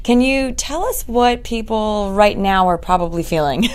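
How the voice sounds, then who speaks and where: Chatty, slightly hoarse female interviewer. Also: medium pitch, a little slow. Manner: friendly, chatty questioning. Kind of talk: interview questions.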